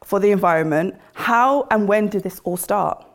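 A woman hosting a casual podcast interview, chatty with quick asides. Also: medium pitch. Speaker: podcast host.

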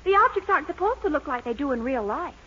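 An actress playing a sweet, earnest young American daughter, exclamatory, in a 1940s radio sitcom. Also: female voice, high voice, big pitch swings, quick pace, bright, forceful tone, heavily acted. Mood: sweetly patient. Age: young adult. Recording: noisy, dull 1940s radio recording with audience.

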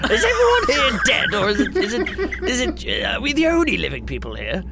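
A man playing an excitable, stammering, giggly doctor in an improvised role-play, proud and flustered. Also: very high voice, fairly quick, gravelly, very noisy, heavily acted. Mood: amazed.

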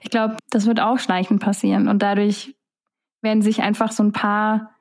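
A woman's voice: mid-range voice, flat delivery, normal speed, darkish tone. A young woman, a singer-songwriter whose first language is German, talking casually in an interview, musing with many fillers.

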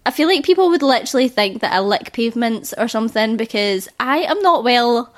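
A woman in her thirties hosting a solo podcast as a birth educator. Her voice is slightly croaky from illness, and she talks in an earnest, reassuring way. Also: a little high, animated, brisk.

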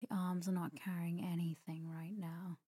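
A woman guides a sleep meditation in a low-pitched, very mellow voice. She is soft and breathy, at times close to a whisper. Her delivery is calm and soothing, with gentle pauses and an even, unhurried melody. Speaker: meditation guide, podcast host. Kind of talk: guided sleep meditation, slow scripted narration.

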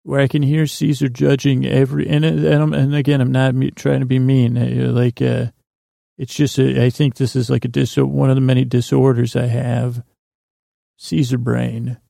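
A man podcast host with heavy vocal fry and a close, dry sound. He rambles through a personal monologue in a halting way, doubling back on his sentences and voicing bits of imagined conversation. The tone of his voice is dark and relaxed. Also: mid-range voice, dry recording. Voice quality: gravelly, creaky. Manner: halting, meandering, constant false starts and self-interruptions; slips into imagined dialogue.

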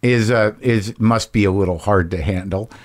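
A man's voice: fairly low pitch, normal speed. Frank, probing man in his fifties. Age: 50s. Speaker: podcast host. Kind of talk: casual conversation.

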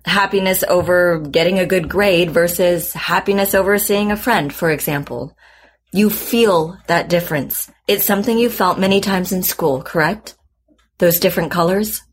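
An actress playing a composed, probing psychotherapist with a measured, clinical manner in a naturalistic audio drama. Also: female voice, fairly low pitch, breathy edge. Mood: calm, probing. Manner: measured, calm, clinical questioning.

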